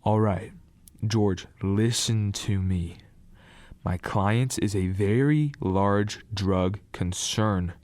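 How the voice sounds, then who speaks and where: A man's voice: fairly low pitch, extremely slow, darkish tone, no room sound. A man acting a stern, scheming older lawyer father in a radio drama, speaking formally and insistently.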